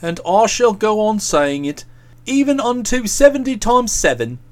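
A man voicing an aggrieved, aloof young English gentleman in a storybook, speaking with cold, pompous sarcasm. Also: high-pitched, big pitch swings, a little slow. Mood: aloof, scolding.

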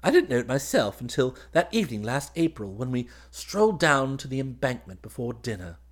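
A man's voice: mid-range voice, sing-song, brisk, voice pushed up. A man voicing a boyish, happy young army officer in a story, with eager, exclamatory chatter. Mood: happy, excited. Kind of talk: dramatised audiobook reading.

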